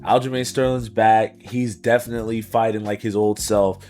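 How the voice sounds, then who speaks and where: A man with a fairly low voice hosts a laid-back podcast, talking in an upbeat, slangy way. A bit of rasp sits in his voice after a week of partying, and faint music plays underneath. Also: steady tone, moderate pace, a little mellow. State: slightly raspy after partying. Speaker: podcast host. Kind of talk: casual podcast chat.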